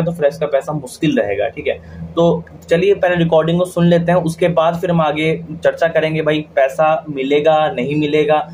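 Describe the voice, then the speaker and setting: Higher-voiced Indian man explaining, some background noise. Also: a little mellow, rough recording. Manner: colloquial, addresses viewers like friends, frequent check-in tags. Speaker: video host giving scholarship updates. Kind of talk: informal explainer to viewers.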